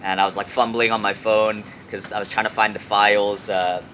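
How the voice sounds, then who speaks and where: A young man from Hong Kong chatting casually as an interview guest, halting and slangy, often repeating words. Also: lowish voice, flat delivery, sharp tone. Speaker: music artist, interview guest. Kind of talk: casual chat. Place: outdoors on an urban rooftop. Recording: clear outdoor city traffic noise.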